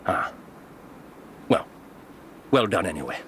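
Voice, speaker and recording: A brilliant English detective for radio drama. His lowish voice swings widely and theatrically, precise and incisive, over a clearly noisy atmospheric backdrop. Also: very animated, slow, a bit bright, exaggerated voice. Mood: placating. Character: brilliant, theatrical, self-assured male detective, incisive and teasing.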